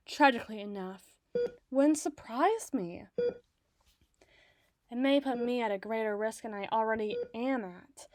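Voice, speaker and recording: A woman playing an obsessive, doting doctor in an ASMR roleplay, speaking softly and articulately with theatrical tenderness. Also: fairly high pitch, no echo, studio quality. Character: obsessive, doting yandere woman doctor, soothing but possessive. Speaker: audio roleplay voice performer.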